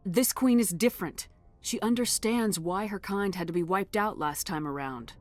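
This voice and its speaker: An actress playing a steady, resolute human starship commander in a video game, speaking in a firm, measured way. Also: female voice, mid-range voice, dry recording, faint music behind, lightly acted.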